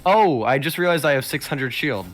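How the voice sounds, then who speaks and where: A man's voice: on the high side, animated, normal speed, fairly bright tone. A young man giving casual, exclamatory game commentary, bantering with a friend. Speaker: gamer playing with a friend, reading story text aloud.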